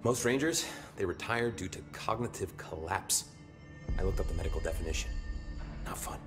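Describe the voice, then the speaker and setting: An actor playing a blunt, wry security officer in a video game, talking casually with a sardonic streak. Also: male voice, low voice, animated, normal speed. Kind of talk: video game dialogue acting. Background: music.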